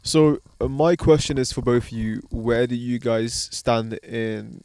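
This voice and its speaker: A man hosting a podcast among friends at an outdoor barbecue. His voice sits at an ordinary middle pitch. He talks in a loose, slangy, laid-back way and keeps calling his friends bro. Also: unhurried, creaky, a little mellow, smooth, dry recording. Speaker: podcast host. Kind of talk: casual chat.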